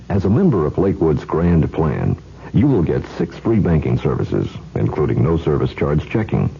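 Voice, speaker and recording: Very deep, gravelly male announcer. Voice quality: gravelly, raspy. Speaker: bank commercial announcer. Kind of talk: scripted radio advertisement. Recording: muffled 1970s radio recording.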